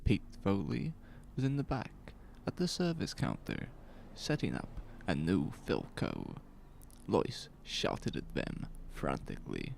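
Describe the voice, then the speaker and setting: Guy narrating a horror story, slow and suspenseful, super expressive pitch, bit of noise under it. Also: creaky. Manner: deliberate, suspenseful. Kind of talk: dramatic scripted story narration. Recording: faint noise under ambient sound effects.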